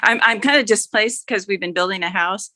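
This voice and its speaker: A woman's voice: medium pitch, fairly quick, sharp tone, dry recording. A mature woman chatting casually as an author guest, rambling a little and correcting herself as she goes. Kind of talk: casual interview chat.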